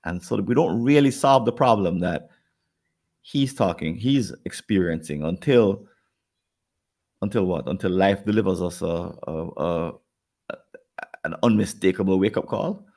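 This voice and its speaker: Very deep, gravelly Jamaican man. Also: unhurried, long pauses, darkish tone. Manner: rambling, repeats words while thinking, acts out quoted people. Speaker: podcast co-host. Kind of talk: casual podcast conversation.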